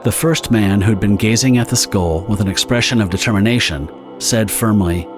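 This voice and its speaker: A man with a lowish voice delivers scripted story narration for an audio drama. He is measured and dramatic in his telling. Music runs behind him.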